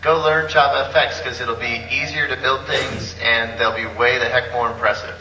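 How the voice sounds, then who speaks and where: A man's voice: medium pitch, steady tone, normal speed, dull audio, background noise. A man co-hosting a live podcast panel, chatting and joking with the others in front of an audience. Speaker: podcast co-host. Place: conference hall before a live audience. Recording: roomy hall, camera far off.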